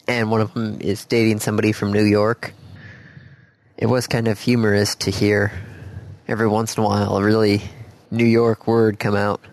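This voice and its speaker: Gravelly Midwestern man chatting casually. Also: lowish voice, dry recording. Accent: American Midwest. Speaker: podcast co-host. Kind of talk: casual chat.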